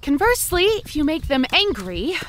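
A woman's voice: sits high, sing-song, moderate pace, fairly bright tone, faint music behind. A woman playing a chatty, panicky, friendly young zombie who rambles and quips to the listener in a comedy audio drama. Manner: rambling, quippy, self-interrupting.

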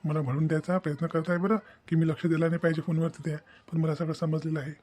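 A man's voice: a little high, little pitch movement, fast talker, dark tone, no room sound, lightly acted. A man voicing a devoted, earnest young husband in a cartoon family drama, with a Varhadi Marathi accent. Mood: admiring, musing. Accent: Maharashtrian, Varhadi Marathi. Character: devoted, considerate young husband musing to himself.

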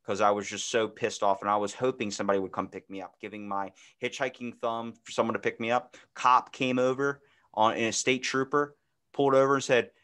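A man in his twenties chatting casually as a podcast host, telling stories in an animated, slangy way with frequent swearing. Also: medium pitch, a little fast. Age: 20s. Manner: animated, profane, slangy storyteller. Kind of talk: casual chat, storytelling. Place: indoor room, podcast video call.

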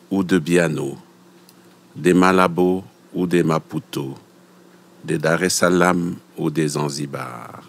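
A man reciting his own poem as solemn spoken word, in a grave, deliberate, declamatory manner. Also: low-pitched. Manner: grave, deliberate, declamatory, with repeated short phrases.

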